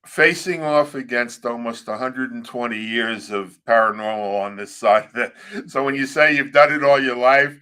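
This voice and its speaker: A man's voice: medium pitch, normal speed, hardly any pauses, pushed and bright, clear, resonant tone. A man co-hosting an interview, chatting casually and joking, often restarting his sentences. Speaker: co-host.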